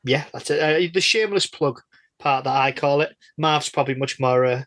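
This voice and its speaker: A man's voice: on the high side, normal speed, a bit bright. A man hosting a casual podcast interview, chatting in a rambling, jokey and self-deprecating way. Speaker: guest host and interviewer of a podcast.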